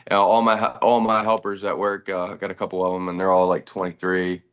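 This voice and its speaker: A man in his thirties, a band's bass player, talking in a relaxed, rambling way during a casual chat. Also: brisk, clean tone. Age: 30s.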